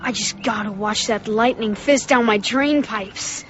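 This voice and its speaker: A woman's voice: a little high, a little slow, faint music behind, lightly acted. A woman playing a bold, defiant, thrill-seeking young boy in a radio drama. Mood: excited. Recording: muffled, noisy radio drama recording.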